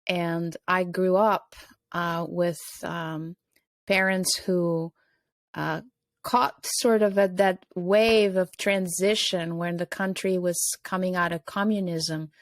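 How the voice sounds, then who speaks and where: A woman's voice: on the low side, fairly even intonation, slow pace, no echo. A middle-aged woman from Albania, a guest in an interview, speaking reflectively with many fillers.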